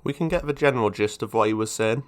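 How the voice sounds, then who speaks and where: Male video essayist, lowish pitch, scripted explanatory narration with informal asides. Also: quick pace, heavy background noise.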